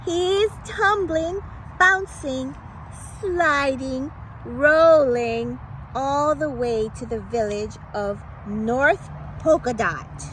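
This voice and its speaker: A woman with a high voice reads a children's picture book aloud over clearly noisy ambience. She takes her time, delivering the story in a playful, dramatic way with exclamations and pauses for suspense. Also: a bit bright.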